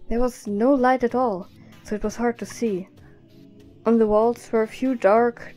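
A woman reads scripted story narration in a soft-edged, mellow voice. Her pitch is a little high, and faint music plays underneath.

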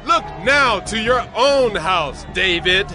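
An actor playing a defiant man of ancient Israel, calling out forcefully to the people in a dramatized audio Bible. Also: sits high, expressive, slow, over music.

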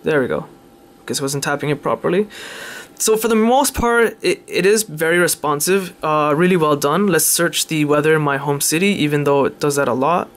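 Male tech presenter, slightly higher pitch, informal and halting unscripted demo. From Canada. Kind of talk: casual unscripted phone demonstration.

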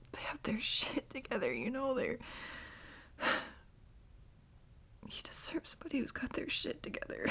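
A woman playing a tearful, insecure girlfriend in an ASMR roleplay, crying and speaking in halting, breathy, self-deprecating phrases. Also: sits high, a bit bright, heavily acted. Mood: sad, insecure. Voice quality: breathy, whispery. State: crying, sniffly. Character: tearful, insecure young girlfriend seeking comfort.

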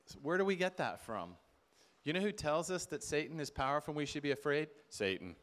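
A man's voice: a little high, big pitch swings, fairly quick, a bit bright. Middle-aged man preaching conversationally. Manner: conversational, repeats words, acts out quoted dialogue. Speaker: conference speaker. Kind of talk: informal sermon-style teaching. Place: large indoor hall with seated audience. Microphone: far camera at back of room.

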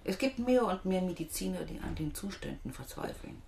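An actress playing a weary, evasive middle-aged aid organisation manager in a German radio crime drama, husky and world-weary. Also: female voice, on the low side, animated, brisk, a little mellow, a little hiss or hum. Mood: guarded.

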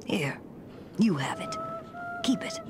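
A woman voicing a grieving, withdrawn townswoman in a fantasy video game, half whispering in a breathy, creaky voice. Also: very high-pitched, wildly swooping pitch, slow, full character voice. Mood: sorrowful. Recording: noisy game capture with ambience.

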